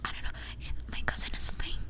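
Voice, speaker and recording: A woman streamer chatting casually in a breathy ASMR whisper close to a binaural mic, informal and sweary, often repeating her phrases. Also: quick pace. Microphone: close binaural ear microphone.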